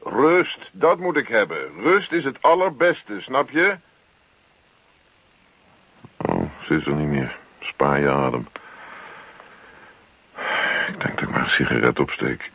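Henpecked husband, evasive grumbler, very animated intonation, radio play, dull lo-fi recording. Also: male voice, low voice, takes it slowly, long pauses, slightly rough, heavily acted. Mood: grumbling to himself. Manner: grumbling, muttering, placating. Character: put-upon evasive husband who just wants peace and his newspaper. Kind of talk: Dutch radio play acting.

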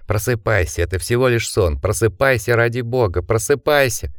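A man voicing a calm, steady young man who speaks in a level way. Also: mid-range voice, normal speed, no room sound, clean studio sound, lightly acted. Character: calm steady boy, Jack.

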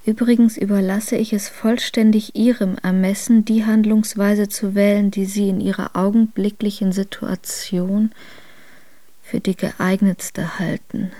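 A woman reading historical letters in a radio drama, in a formal, measured way. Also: medium pitch, little pitch movement, dark tone, dry recording, natural voice. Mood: formal, cautioning. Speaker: letter reader.

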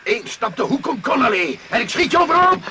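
High-strained, threatening radio villain. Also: male voice, very animated, fairly quick, sharp tone, a little hiss or hum, exaggerated voice. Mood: menacing. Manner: threatening, commanding. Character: ruthless armed male villain holding a woman hostage. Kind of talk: radio drama acting.

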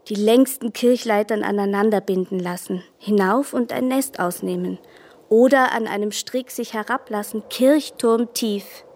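An actress playing a lively, artless young farm girl in a German radio play, with eager, unguarded rustic speech. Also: medium pitch, gravelly.

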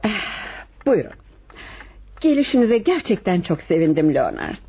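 An actress playing a lonely, flirtatious wife in a radio play, speaking in a coy, intimate way. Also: female voice, lowish voice, big pitch swings, unhurried, warm tone, slightly rough, exaggerated voice. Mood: flirtatious, wistful. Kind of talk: Turkish radio theatre acting.

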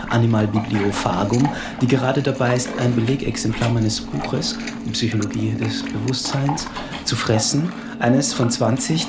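An actor playing a solitary, wry intellectual, the last human alive, in a measured literary radio drama monologue. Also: male voice, medium pitch, very noisy, lightly acted. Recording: loud music behind the voice. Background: music.

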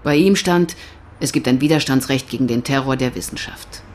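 An actress in a radio drama playing a cool, probing police detective who questions in a matter-of-fact, insistent way. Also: female voice, rumbling low, a little fast, a little mellow. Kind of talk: naturalistic German radio drama acting.

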